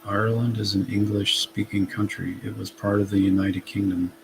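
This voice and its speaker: A man's voice: on the low side, little pitch movement, normal speed, vocal fry, noisy background. A man with a light Massachusetts accent, a guest in a casual live chat, correcting people in a plain, matter-of-fact way.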